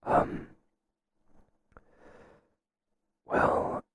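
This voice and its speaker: Whispering, hesitant male boss, acted by a man. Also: no echo. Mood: reassuring, businesslike. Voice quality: soft, breathy, mostly whispered. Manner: halting, tentative, confiding. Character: hesitant, flattering male boss confiding in an employee. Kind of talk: whispered ASMR roleplay.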